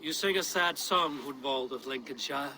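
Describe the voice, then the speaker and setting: An actor playing a stern Viking warrior chieftain in a video game, speaking in a blunt, measured, commanding way. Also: male voice, medium pitch, bright, forceful tone. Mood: grave, probing. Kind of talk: scripted video game drama acting.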